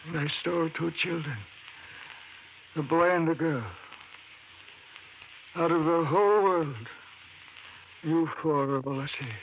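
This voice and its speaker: An actor playing a frail, dying old professor in an old-time radio drama, speaking weakly, haltingly and with urgency. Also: male voice, fairly high pitch. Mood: haunted, bitter. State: weak, failing. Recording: muffled, hissy old radio recording.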